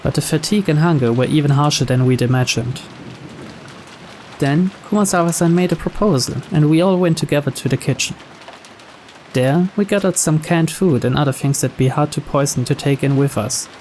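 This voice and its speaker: A man's voice: fairly high pitch, brisk, a little mellow. Man narrating a story, faint background noise. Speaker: narrator reading a visual novel aloud.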